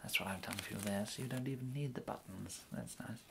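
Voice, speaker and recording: Soft-spoken English gentleman tailor, played by a man. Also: lowish voice, fast talker, noisy background. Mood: courteous, pleased. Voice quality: soft, breathy, often slipping into whisper. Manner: hesitant, courteous, murmuring. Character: polite, fussy English gentleman tailor serving a customer. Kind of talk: ASMR roleplay. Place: indoors, plain small room, close to camera.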